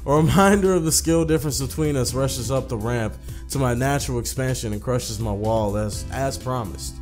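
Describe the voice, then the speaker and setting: A man's voice: mid-range voice, moderate pace, a little mellow. Male let's player, mid-range voice, casual narration of game text with music behind. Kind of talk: casual commentary and reading game text aloud.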